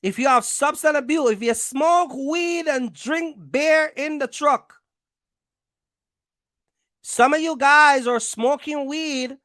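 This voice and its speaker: High-voiced, blunt woman giving advice. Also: slow pace, a bit bright, dry recording, clean studio sound. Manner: blunt, emphatic, repeats phrases for stress; colloquial, slangy speech. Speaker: trucking channel host giving advice. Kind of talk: informal explainer addressed to viewers.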